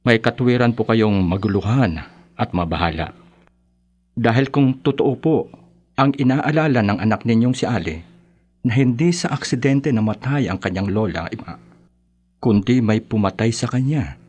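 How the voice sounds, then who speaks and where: An actor in a radio drama playing a calm parish priest who gives grave, measured, courteous counsel. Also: male voice, mid-range voice, breathy edge. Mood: grave, concerned.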